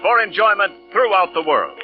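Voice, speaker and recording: Animated 1940s radio announcer man. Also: high voice, moderate pace. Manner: polished, emphatic salesmanship. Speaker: radio announcer and sponsor spokesman. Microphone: studio radio microphone. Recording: muffled old radio broadcast, faint music.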